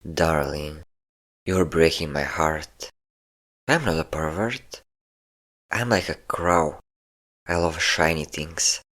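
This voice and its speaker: Man playing a deep-voiced, teasing male vampire. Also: a bit bright, full character voice. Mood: amused, flirtatious. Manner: taunting, flirtatious, slow endearments. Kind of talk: intimate roleplay audio acting.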